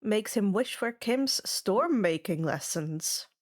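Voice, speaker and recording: A woman's voice: medium pitch, animated, slow pace. A woman hosting and narrating a fan fiction reading in a scripted, audiobook style. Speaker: fan fiction reader and channel host.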